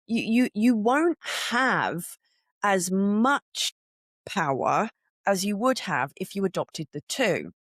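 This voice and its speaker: A middle-aged woman hosting a spiritual podcast, with a croaky voice, talking earnestly and conversationally and stopping for little laughs. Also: mid-range voice, very animated, slow, no echo, clean studio sound. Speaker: podcast host. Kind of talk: solo spiritual podcast talk.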